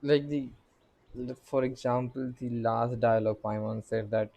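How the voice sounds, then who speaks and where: A man's voice: medium pitch, fairly even intonation, moderate pace, creaky, darkish tone. A man giving casual game commentary as a player, hesitant and searching for his words. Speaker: gaming streamer reacting to a playthrough.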